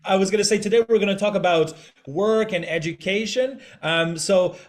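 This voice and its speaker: A man's voice: high voice, normal speed. A man hosting an online group call, upbeat and encouraging in a chatty way. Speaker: host.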